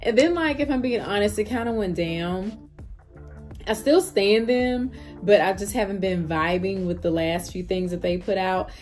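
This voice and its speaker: A woman's voice: medium pitch, moderate pace, darkish tone. A woman giving a casual, slangy music reaction, repeating phrases for emphasis. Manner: slangy, informal, emphatic repetition. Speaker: reaction video host.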